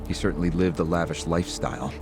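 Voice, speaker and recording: A cowed, educated captive in a video game, with a deep voice and a formal, hesitant way of explaining himself. Loud music runs behind him. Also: male voice, fast, gravelly. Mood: subdued, explaining. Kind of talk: English video game cutscene acting.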